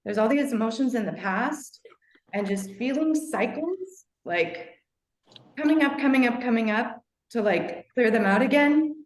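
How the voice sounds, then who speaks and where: A woman's voice: a little high, lively intonation, a little slow. A woman taking part in a group session, speaking reflectively with many "like" fillers. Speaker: session participant. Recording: echoey room.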